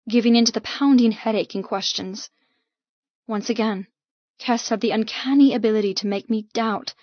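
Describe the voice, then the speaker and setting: A woman's voice: on the high side, no echo, muffled recording. A woman narrating an audiobook novel in the first person, with an intense, emotive, dramatic delivery.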